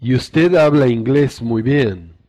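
A man modeling phrases for a language course, repeating each one with careful, deliberate enunciation. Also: mid-range voice, darkish tone, dry recording.

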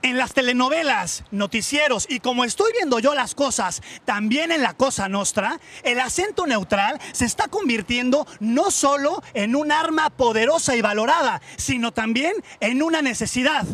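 High-voiced playful male reporter. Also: lively intonation, normal speed, few pauses, a bit bright. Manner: playful, teasing questions. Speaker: street reporter. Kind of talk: lighthearted TV report with street interviews.

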